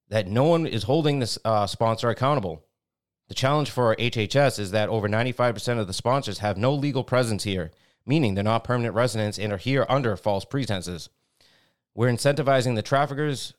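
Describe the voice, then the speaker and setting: A man co-hosting a podcast, reading a news article aloud haltingly, stumbling and restarting words, then adding indignant commentary. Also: on the low side. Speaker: podcast co-host.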